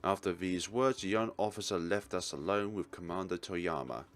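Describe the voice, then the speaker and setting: A man reading a visual novel aloud as a let's player, with deliberate, theatrical narration. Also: deep voice.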